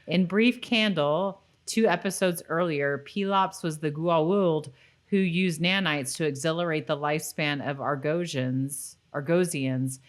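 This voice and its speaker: A woman's voice: low-pitched, a little slow. A woman co-hosting a podcast, informal and offhand as she chats and reads out trivia. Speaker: podcast co-host. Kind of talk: casual podcast chat, reading out trivia.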